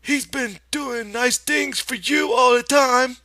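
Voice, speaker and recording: A man's voice: very high voice, takes it slowly, pushed and bright, dry recording, pitched above the performer's own voice, full character voice. A man voicing a disapproving, nagging old aunt with folksy rural speech in an audiobook. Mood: reproachful. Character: imagined fat old woman, scolding, disapproving aunt.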